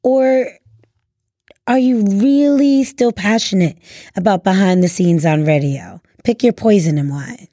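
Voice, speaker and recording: Female hostess for interview talk: unhurried pace, soft dark tone, dry close recording. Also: medium pitch, no room sound. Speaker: show hostess. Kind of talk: conversational interviewing.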